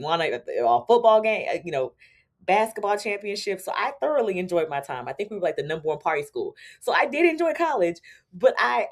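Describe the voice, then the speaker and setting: A woman in her forties with a notably low voice speaks as a podcast guest. She tells her stories casually, acting out what people said, and laughs often. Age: 40s. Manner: animated storytelling, acts out quoted dialogue. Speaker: podcast guest, attorney turned entrepreneur. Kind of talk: casual interview chat.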